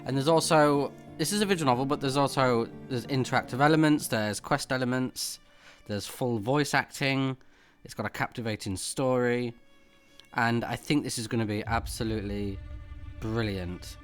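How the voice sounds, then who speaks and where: A man's voice: medium pitch, moderate pace, soft background music. A man recording a let's play, switching between casual off-the-cuff commentary and reading game text aloud. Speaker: let's player, game streamer.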